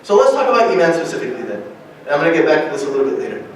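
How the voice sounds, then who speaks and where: A man's voice: a little high, sing-song, rapid-fire, a little hiss or hum. A man giving an informal religious talk to young adults, speaking conversationally and often checking in with a questioning "right?". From United States. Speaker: guest lecturer at an Islamic center. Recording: very echoey hall, distant mic.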